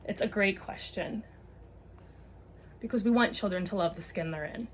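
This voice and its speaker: A woman's voice: medium pitch, normal speed, a little hiss or hum. A woman explaining and reading a children's book aloud in an informal, conversational way, often rephrasing as she goes.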